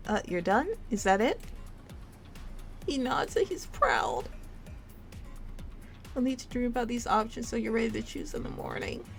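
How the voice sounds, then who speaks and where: A woman's voice: fairly high pitch, animated, normal speed, vocal fry, long pauses, faint music behind. A woman reading a game story aloud in a theatrical storytime style, shifting her voice between characters. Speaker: streamer reading a visual novel game aloud.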